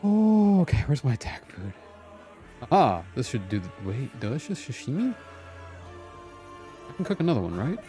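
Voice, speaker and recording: A man's voice: mid-range voice, very animated, moderate pace, pauses often, dark tone. A man live-streaming a game, giving playful, rambling, casual commentary as he plays. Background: game music.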